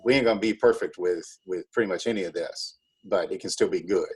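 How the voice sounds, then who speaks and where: A man's voice: low voice, fast talker, darkish tone, slightly rough. An American man, a drama teacher, chatting casually as an interview guest in a rambling, wry, easygoing way.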